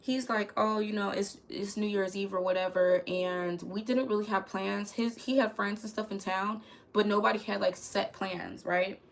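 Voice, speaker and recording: Young woman, crackly voice, casual and candid, medium pitch. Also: steady tone, moderate pace, creaky. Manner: chatty, candid, talks to viewers as "y'all". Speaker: YouTuber telling a story. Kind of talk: casual storytime vlog.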